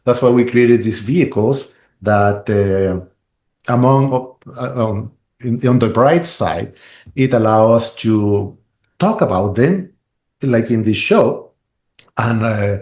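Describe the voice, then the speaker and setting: A man's voice: fairly low pitch, slow, a little mellow. Man, chatty, roomy sound. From Chile. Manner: rambling, restarts, many "right?" tags. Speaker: guest. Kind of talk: podcast interview.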